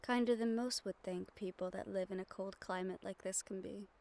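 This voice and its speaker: A woman playing a gentle, earnest young magic-wielder in an audio roleplay, soft-spoken and measured with thoughtful pauses. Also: mid-range voice, fairly even intonation, natural voice. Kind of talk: audio roleplay acting, addressed to the listener. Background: ambient sound effects.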